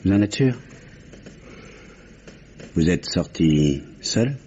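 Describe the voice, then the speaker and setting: A man's voice: low voice, lively intonation, drawn-out words, mellow, heavily acted. An actor playing a stern, insistent policeman with a curt, controlled, probing manner, in old French radio mystery style. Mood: curt, authoritative. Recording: dull, noisy vintage radio recording.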